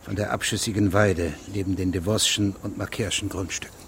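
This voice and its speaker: An actor playing a formal, persistent investigating judge who questions witnesses precisely in a German radio drama. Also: male voice, sits low, steady tone, fairly quick, gravelly, a bit bright, a little hiss or hum. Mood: formal, methodical.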